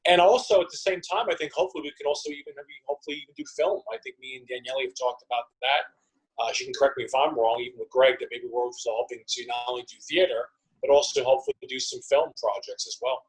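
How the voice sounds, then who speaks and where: A man with a mid-pitched voice answers in a casual interview over a video call. He is a theater company member, earnest and a bit tentative as he lays out his thoughts. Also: quick pace, poor audio.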